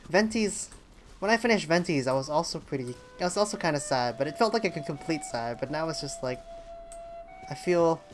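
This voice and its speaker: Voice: medium pitch, expressive, a little fast, faint music behind. A game streamer chatting casually on a livestream, hesitant and rambling while thinking aloud. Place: indoors at a streaming setup.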